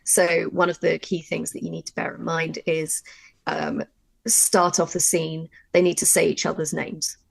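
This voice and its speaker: A woman's voice: low voice, little pitch movement, moderate pace, creaky, no room sound, poor audio. A woman teaching a workshop in a conversational way, emphatic and given to repeating points and acting out examples. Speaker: writer and workshop co-presenter.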